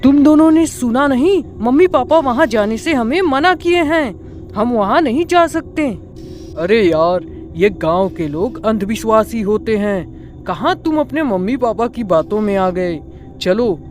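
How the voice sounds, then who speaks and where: A performer voicing young village friends in a cartoon horror story, in a dramatic, exclamatory way. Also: mid-range voice. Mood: eager, arguing. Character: frightened or cocky young village friends hunting treasure.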